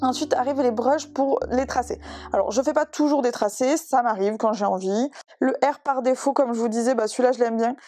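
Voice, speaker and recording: Young woman with a higher voice, chatty, self-mocking artist walking through her tools, dry close sound. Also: fairly quick, warm tone, dry recording. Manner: chatty, self-deprecating, many hesitations. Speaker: digital illustrator. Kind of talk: casual drawing tutorial.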